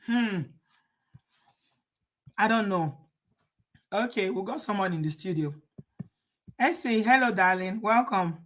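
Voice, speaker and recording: A woman's voice: medium pitch, animated, takes it slowly, pauses often. Chatty Nigerian woman hosting a live show. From Nigeria, Edo State. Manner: chatty, rhetorical, laughs at the topic. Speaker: channel host reading viewer comments. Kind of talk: casual live-stream chat.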